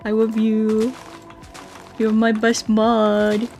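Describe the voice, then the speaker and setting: A woman's voice: fairly high pitch, completely flat delivery, very slow, creaky, darkish tone, soft background music. A woman streaming a video game, chatting casually and playfully with viewers in a scatterbrained way, often repeating her words.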